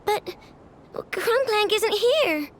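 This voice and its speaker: Video game little girl, excitable, very high voice, swooping intonation, faint background noise. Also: extremely slow, exaggerated voice. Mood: eager, puzzled.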